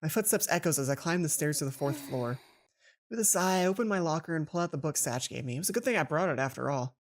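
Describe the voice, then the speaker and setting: A woman reading a visual novel aloud for a let's play, expressive, with casual asides of her own. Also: low voice, fast talker. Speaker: let's player.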